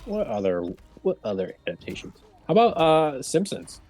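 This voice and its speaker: A man's voice: a little high, wildly swooping pitch, normal speed, darkish tone. A man hosting a casual round table chat about entertainment, speaking in an informal, conversational way.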